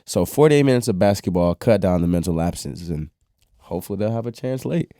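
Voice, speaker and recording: A man's voice: low voice, lively intonation, brisk, warm tone, slight background noise. A man giving conversational sports analysis, making his points with emphasis. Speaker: sports commentator.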